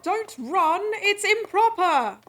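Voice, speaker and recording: A woman playing a fussy, fond attendant to a princess in a fantasy audio drama, gently scolding and proper. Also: very high voice, big pitch swings, slow, exaggerated voice.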